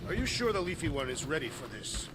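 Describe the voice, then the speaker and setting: An actor playing a formal, literal-minded alien warrior in a video game, speaking solemnly. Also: male voice, fairly high pitch, very animated, fast. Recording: game ambience noise behind.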